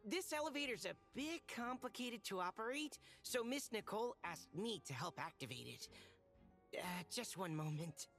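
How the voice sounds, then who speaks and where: A polite, obliging young helper from a video game. Her medium-pitched voice moves in a lively way. Faint music is behind her. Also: moderate pace. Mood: helpful. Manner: courteous, obliging. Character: polite, helpful young assistant operating machinery. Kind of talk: video game character acting.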